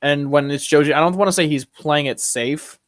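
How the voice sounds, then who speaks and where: A young man chatting casually, voicing firm opinions in quick bursts with frequent restarts. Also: a little high, dry recording, studio quality. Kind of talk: casual chat.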